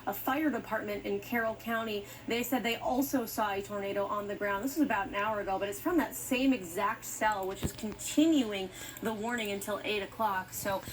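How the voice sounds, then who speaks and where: A woman's voice: medium pitch, moderate pace, a little hiss or hum, poor audio. A woman with an American accent presenting live breaking weather coverage as a forecaster, informal but insistent with safety instructions.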